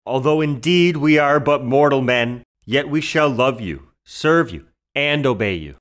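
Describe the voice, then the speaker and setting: Slow, courteous gentleman played by a man. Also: medium pitch, lively intonation. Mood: courteous, sober. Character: sober, courteous gentleman lover. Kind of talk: period stage comedy audio play.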